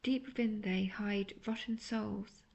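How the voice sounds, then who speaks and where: Woman voicing a coaxing, gravelly-edged seductive witch. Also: medium pitch, steady tone, muffled recording. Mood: cold, righteous contempt. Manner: coaxing, teasing, slow breathy near-whispers mixed with soft talk. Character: seductive, manipulative, obsessive imprisoned witch, sweetly menacing. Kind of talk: intimate scripted audio roleplay, addressing the listener.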